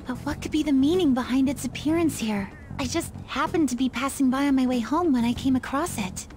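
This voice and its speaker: A woman's voice: sits high, a little fast, darkish tone, faint music behind, close to an everyday voice. A woman voicing an earnest, polite teenage schoolgirl in a video game, a little hesitant.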